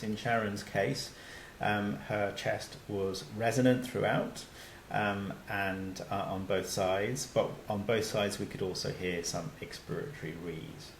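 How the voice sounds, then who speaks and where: A man's voice: lowish voice, echoey room, slight background noise, low-quality recording. A man, a doctor, giving a recorded slide lecture in a conversational way, with many fillers and restarts.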